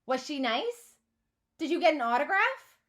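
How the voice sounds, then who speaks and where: A woman voicing an eager, excitable middle-school kid in a children's storybook, demanding to hear everything. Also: sits high, unhurried, voice pushed up, full character voice. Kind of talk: children's storybook read-aloud.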